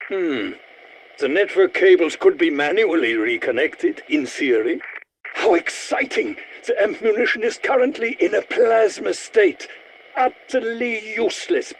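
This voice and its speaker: An actor playing a resentful old scientist in a video game, speaking in a formal, wordy, dryly sardonic manner. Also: male voice, a little high, a little hiss or hum, exaggerated voice. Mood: musing, then excited. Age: older. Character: resentful old German scientist, erudite, fussy, sardonic, excitable. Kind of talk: video game character voice lines.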